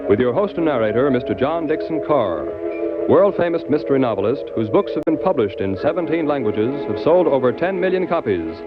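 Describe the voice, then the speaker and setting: A man's voice: mid-range voice, sing-song, a little fast. A man announcing in a dramatic, scripted 1940s network radio style. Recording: muffled old radio, loud music behind.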